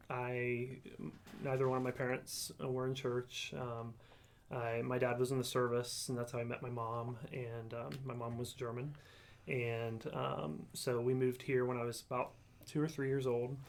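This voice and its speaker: A man in his forties from Ohio, telling his faith story in a halting, reflective way with many pauses and ums. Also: mid-range voice, flat delivery, a little hiss or hum. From Ohio, USA. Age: 40s. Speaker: guest sharing testimony. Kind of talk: casual conversational testimony.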